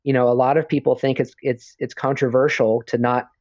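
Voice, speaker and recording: A man on a podcast talks in a loose, halting way, circling back with fillers and restarted phrases. His voice sits in an ordinary middle range. The audio is dull and lo-fi, with no room sound. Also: mid-range voice, level tone, a little mellow, dry recording. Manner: hesitant, many fillers and restarts. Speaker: podcast co-host. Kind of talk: casual chat.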